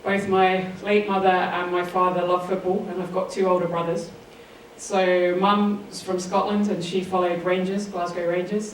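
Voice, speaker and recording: Southern english woman being interviewed, earnest and flat intonation, bit far from the mic, faint noise. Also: lowish voice. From England. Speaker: interview guest, sports author. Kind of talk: interview answers, personal storytelling. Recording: roomy echo, distant mic, faint noise.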